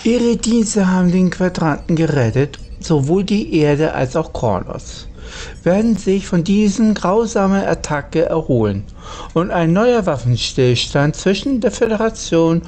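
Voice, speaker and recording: A woman's voice: fairly low pitch, animated, unhurried, dark tone, slight background noise. A German woman playing a game and reading its dialogue aloud in character.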